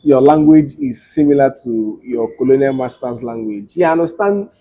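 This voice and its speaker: A man's voice: mid-range voice, lively intonation, moderate pace, mellow, slight background noise. A young man from Nigeria hosting a casual chat, asking curious, teasing questions. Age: young adult. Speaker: host.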